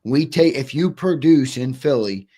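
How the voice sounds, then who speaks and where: A man's voice: medium pitch, animated, unhurried, warm tone. Man hosting a casual sports show. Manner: upbeat, reads viewer questions and ad reads. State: losing his voice. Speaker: host.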